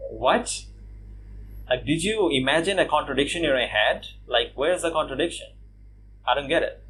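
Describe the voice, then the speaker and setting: A man talks through a casual rebuttal video, his voice sitting a little high, with a faint hiss of background noise behind him. He scoffs and chuckles at the person he is answering and leans on fillers like "uh" and "you know" as he thinks aloud. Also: fairly bright tone. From Bangladesh. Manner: mocking, laughs at opponent, many fillers. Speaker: YouTube commentator. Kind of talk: casual response commentary.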